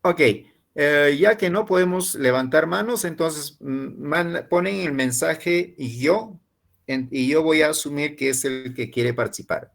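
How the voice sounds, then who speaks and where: Gravelly-voiced man running an online class. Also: a little high, normal speed, a bit bright. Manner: switches between Spanish and English, gives instructions. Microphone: video call.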